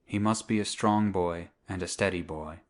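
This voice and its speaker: A man playing a nervous, cautious middle-aged doctor in an audiobook dramatic reading, speaking in a measured, formal way. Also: sits low, lightly acted. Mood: cautious concern.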